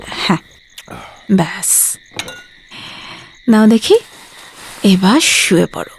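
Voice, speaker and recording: A woman's voice: medium pitch, darkish tone, slight background noise, close to an everyday voice. A woman playing a weary, devoted daughter who cares for her bedridden father, confiding and emotional in an intimate audio drama monologue. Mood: gentle coaxing.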